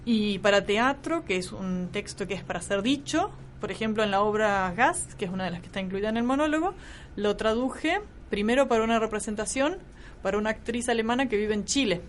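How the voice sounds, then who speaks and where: A woman's voice: medium pitch, brisk, a little hiss or hum. A woman from Córdoba, Argentina, with a Córdoba accent, explaining translation thoughtfully in a radio interview. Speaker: translator, guest.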